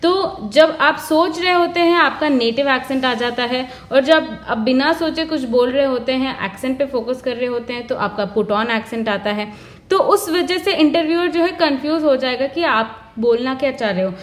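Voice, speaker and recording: Woman, high voice, earnest instructor going through interview advice point by point. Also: moderate pace. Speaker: career advice vlogger. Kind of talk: explanatory advice talk.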